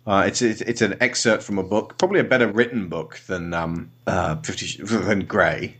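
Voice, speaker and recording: A man hosting a comedy podcast, chatting loosely with many fillers and quick asides. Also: low-pitched, fairly even intonation, fairly bright tone, slightly rough. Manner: hesitant, full of fillers and quick jokes. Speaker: podcast host. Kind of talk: casual comedy chat.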